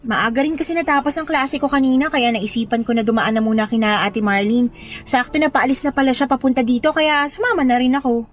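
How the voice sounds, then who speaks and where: A woman's voice: sits high, fast, lightly acted. A woman playing a grateful, studious younger sister, speaking sincerely in a radio drama. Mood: light, casual. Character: grateful, devoted younger sister, a diligent student. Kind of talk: Tagalog radio drama dialogue.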